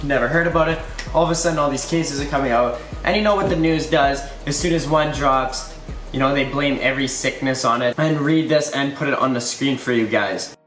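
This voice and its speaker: A man in his twenties telling a rambling story-time vlog, his voice slightly hoarse. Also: medium pitch, fairly quick, fairly bright tone, echoey room, faint music behind. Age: 20s. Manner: rambling and loose, lots of "like" and "you know". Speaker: YouTuber.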